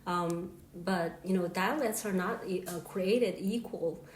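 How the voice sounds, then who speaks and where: A woman from Sichuan whose first language is the Sichuan dialect, speaking English as a professor and guest. Her pace is measured, and she often fills pauses with "um" and "you know". The recording is roomy and echoey, with faint background noise underneath. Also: on the low side. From Sichuan, China. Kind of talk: podcast discussion.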